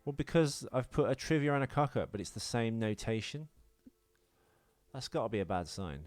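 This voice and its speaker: A man's voice: mid-range voice, animated, moderate pace, darkish tone. Rambling British man, casual podcast chat. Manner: rambling, self-deprecating, jokey. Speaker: podcast co-host.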